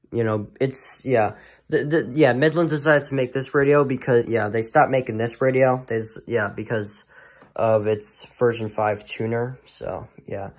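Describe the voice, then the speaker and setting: Halting man in casual show-and-tell. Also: medium pitch. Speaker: hobbyist presenter of a weather radio collection. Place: indoors, in a room at home.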